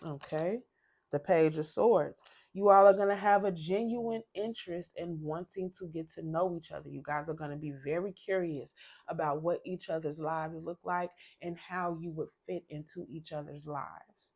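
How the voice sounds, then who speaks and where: Low-voiced woman reading tarot. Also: normal speed, darkish tone, muffled recording. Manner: chatty, rambling, repeats and restarts. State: sick, losing her voice. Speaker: tarot reader. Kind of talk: casual tarot reading to camera.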